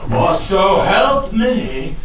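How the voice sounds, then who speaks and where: A man's voice: high-pitched, sing-song, very slow, a bit bright, full character voice. An actor playing an irate, blustering colonel father, ranting theatrical threats in a comic costume drama. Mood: furious. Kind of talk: comic costume-drama stage acting in rhyme. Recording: very echoey, distant, noisy stage recording.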